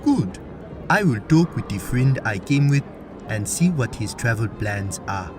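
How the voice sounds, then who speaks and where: A man's voice: mid-range voice, very animated, music in the background. A man playing a friendly, naive traveler in a fantasy audio drama, speaking formally and innocently. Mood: pleased, curious. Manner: innocent, deliberate, formal wording.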